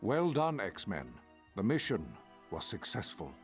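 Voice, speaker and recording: Game mentor: stern, precise, imperious, mid-pitched with very animated intonation over faint music. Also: male voice, measured pace. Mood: irritated, stern. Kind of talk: video game character acting.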